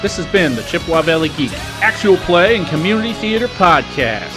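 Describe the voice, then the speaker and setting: A man reading a scripted podcast outro in an even, formal way. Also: sits high, a little fast. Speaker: podcast announcer. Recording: over loud guitar music.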